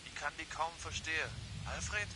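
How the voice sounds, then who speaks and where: A baffled, insistent character on the telephone acted by a man, a bit high in pitch. Thunder and noise sit clearly behind the voice. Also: normal speed, close to an everyday voice. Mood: confused. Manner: puzzled, insistent. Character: confused person on a bad phone connection. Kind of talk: game character acting. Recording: stormy, noisy background.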